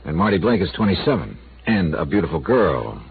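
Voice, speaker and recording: Hard-boiled investigator, very deep and lively-pitched, dry questions, tinny hissy radio broadcast. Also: male voice, brisk. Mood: probing. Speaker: lead actor. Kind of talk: 1950s radio drama acting.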